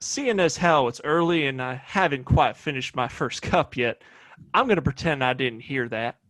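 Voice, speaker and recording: A man's voice: medium pitch, lively intonation, normal speed, a bit bright, no echo, lightly acted. A man acting a swaggering, menacing American small-town sheriff in a Western audio play, with a smug, domineering, folksy way of talking. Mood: threatening.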